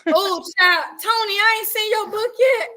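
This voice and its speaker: A woman from Florida hosting a casual livestream chat, chatty and animated, talking straight to her viewers. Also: very high-pitched, moderate pace. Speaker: host.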